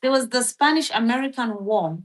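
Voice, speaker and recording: A woman's voice: a little high, lively intonation, a little fast. A woman chatting casually on a live stream, rambling with lots of fillers as she thinks aloud and reads from a search. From Philippines. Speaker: livestream host.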